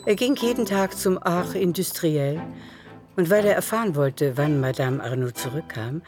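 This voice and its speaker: Female narrator for literary audio drama, low-to-middle register, scripted storytelling, music underneath. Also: expressive, normal speed. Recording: music behind the voice.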